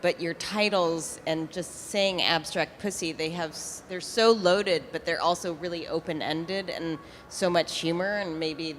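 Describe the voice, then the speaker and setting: A woman on a museum panel, chiming in with quick interjections and thoughtful follow-ups. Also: lowish voice, fairly even intonation, a little hiss or hum, low-quality recording. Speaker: curator. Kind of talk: panel conversation.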